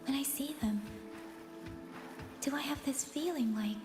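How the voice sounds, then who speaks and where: An actress playing a gentle, pensive young woman in a game, speaking in a hushed, hesitant, wondering way. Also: sits high, unhurried. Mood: tender wonder. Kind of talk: scripted game dialogue. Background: music.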